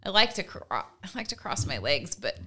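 Bright-voiced American woman, lowish pitch. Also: very animated, brisk. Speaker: podcast co-host. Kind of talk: casual chat.

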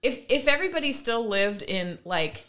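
A woman's voice: a little high, expressive, normal speed, a bit bright. A woman co-hosting a podcast in casual conversational commentary, earnest and discursive. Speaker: podcast co-host.

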